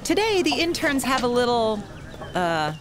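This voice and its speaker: A woman's voice: a little high, very animated, unhurried, background noise. A woman narrating a television feature in an upbeat, scripted storytelling manner.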